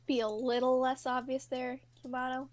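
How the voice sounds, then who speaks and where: A woman's voice: high-pitched, little pitch movement, normal speed, natural voice. A woman playing a clever, teasing teenage tech whiz girl with a sardonic manner in a fan fiction reading.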